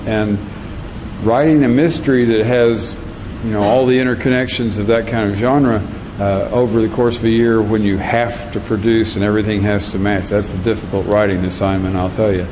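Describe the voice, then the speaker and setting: A man giving an informal, halting introduction of a speaker as host of a public reading. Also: fairly low pitch. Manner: halting, pausing between short phrases. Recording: echoey hall, loud background noise.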